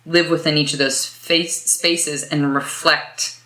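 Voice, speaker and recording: A woman's voice: low-pitched, fairly even intonation, takes it slowly, bright, forceful tone. A woman hosting a podcast interview, reflecting back and summarizing the guest's points at length. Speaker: podcast host. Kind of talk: interview conversation. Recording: roomy echo.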